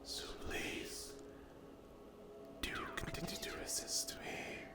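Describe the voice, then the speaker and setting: A man playing a menacing, hungry sleep paralysis demon in a whispered ASMR roleplay, breathy and deliberate, taunting the listener. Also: roomy sound, over loud music, natural voice. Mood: hungry, savoring. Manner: very slow, deliberate, menacing, with long pauses.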